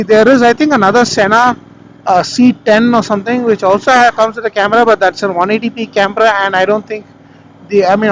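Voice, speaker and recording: A man with an Indian accent and a high-pitched voice talks through a gear review. His delivery is casual and conversational, wandering from point to point. He is recorded on a helmet intercom mic, with vehicle noise faintly audible behind him. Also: low-quality recording. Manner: rambling, conversational. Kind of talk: casual spoken product review. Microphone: helmet intercom microphone.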